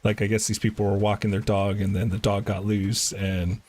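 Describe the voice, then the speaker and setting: A man's voice: on the low side, little pitch movement, moderate pace, a little mellow, a little hiss or hum. A man talking casually and matter-of-factly while live streaming a video game, using slangy everyday speech.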